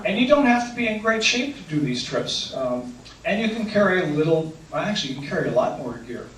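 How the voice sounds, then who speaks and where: A man's voice: fairly high pitch, very animated, fairly quick, fairly bright tone, recorded from a distance. A man who leads canoe and kayak trips, answering audience questions in an earnest, hesitant way and restating each question before he answers. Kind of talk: talk with audience Q&A. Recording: echoey room, speaker away from mic, faint noise.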